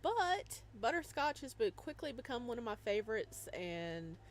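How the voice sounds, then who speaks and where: A woman's voice: a little high, sing-song, normal speed, a little hiss or hum. A young woman hosting a casual live stream, chatting and laughing as she plays a visual novel and greets her viewers by name. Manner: bubbly, giggly, greets chatters by name, hums to herself.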